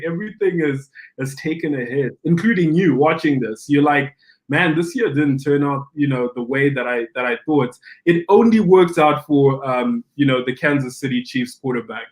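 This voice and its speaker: Animated pastor telling his story. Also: fairly high pitch. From Zimbabwe. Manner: animated, acts out quoted dialogue, frequent restarts. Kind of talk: conversational podcast storytelling.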